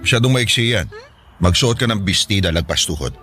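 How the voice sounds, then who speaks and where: A man's voice: on the low side, animated, fairly quick, over loud music, close to an everyday voice. An actor playing a protective middle-aged Filipino father in a radio drama, dryly teasing and strict. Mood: fatherly advice.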